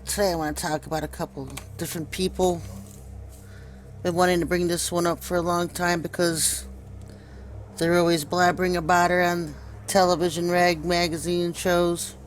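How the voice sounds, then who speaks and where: A man with a somewhat high voice gives an informal commentary as a video host. He insistently directs viewers to what he is showing. There is faint noise behind him. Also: little pitch movement, moderate pace. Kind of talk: informal conspiracy commentary over pictures.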